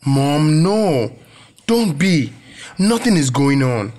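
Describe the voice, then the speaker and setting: An actor playing a nervous, evasive young student with a Nigerian accent, defensive and apologetic in a radio drama. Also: male voice, fairly high pitch, sing-song, slow, darkish tone.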